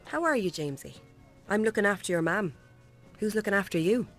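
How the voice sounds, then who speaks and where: An actress playing a friendly Irish visiting nurse in a radio drama, reassuring and gently probing, with a lightly teasing manner. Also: female voice, medium pitch, lively intonation, a little fast, faint music behind. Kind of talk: naturalistic radio drama acting.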